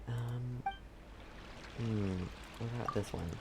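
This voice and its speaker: A woman's voice: extremely low pitch, takes it slowly, music in the background. A woman streamer giving casual commentary while playing a game, sometimes reading lines aloud.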